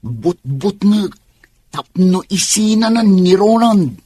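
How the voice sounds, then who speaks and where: A man playing a chatty, forthright, soft-hearted landlord and neighbour in a radio drama, with a husky voice. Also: high voice, lively intonation, slow, dry recording, full character voice. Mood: sympathetic, scheming.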